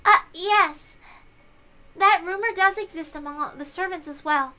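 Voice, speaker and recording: A woman voicing a polite, hesitant young maid servant in a story reading, deferential and soft-spoken. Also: very high voice, a little slow, voice pushed up, heavily acted. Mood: uneasy.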